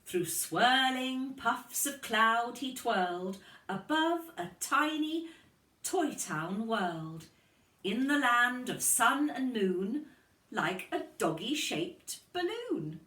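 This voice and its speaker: A woman teacher reading a picture book aloud to children in an expressive storytelling style. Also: fairly high pitch, takes it slowly. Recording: echoey room, distant microphone.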